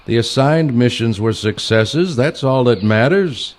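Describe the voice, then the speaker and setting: Man playing a deadpan retired assassin, lively inflection. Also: medium pitch, unhurried, lightly acted. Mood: matter-of-fact. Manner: deadpan, curt. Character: dry, stern older retired male assassin mentor. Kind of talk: comic audio play acting.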